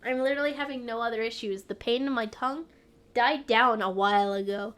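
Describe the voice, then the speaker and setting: Young woman streaming from home, stuffy nose, rambly chat, kinda high voice. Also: a little fast, fairly bright tone, smooth. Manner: rambling, trailing off, frequent laughs. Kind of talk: casual live-stream chat.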